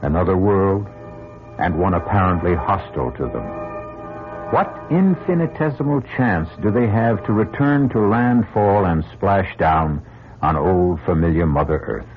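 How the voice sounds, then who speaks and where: An actor as a solemn radio mystery narrator, delivering grave, theatrical, rhetorical narration. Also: male voice, sits low, animated, unhurried. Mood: ominous, grave. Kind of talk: dramatic scripted radio narration. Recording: dull old radio, music underneath. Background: dramatic music.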